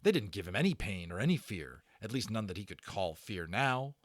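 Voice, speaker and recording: A man's voice: on the low side, sing-song, fairly quick, dry recording, clean studio sound. A man giving a dramatic narration of a children's book as a storyteller.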